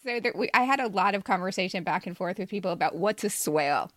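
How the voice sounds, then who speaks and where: A woman's voice: medium pitch, animated, quick pace, no echo, faint music behind. A woman who co-hosts a writing podcast, speaking in a personal, casual way in a studio. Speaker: podcast co-host and writer. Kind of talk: personal reading and casual chat. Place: recording studio.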